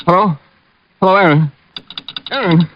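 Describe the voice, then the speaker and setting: A man's voice: high voice, unhurried, a little hiss or hum. A man playing a nervous, easily cowed crook in an old radio drama, stammering and feebly defiant. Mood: panicky. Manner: stammering, pleading, flustered. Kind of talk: 1940s-50s radio drama acting. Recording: dull, muffled old radio recording.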